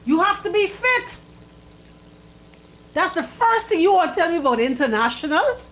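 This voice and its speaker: A woman's voice: very high-pitched, big pitch swings, normal speed, a bit bright, low-quality recording. A woman with a Bahamian accent co-hosting radio, giving opinionated banter and repeating phrases for emphasis. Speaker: radio co-host.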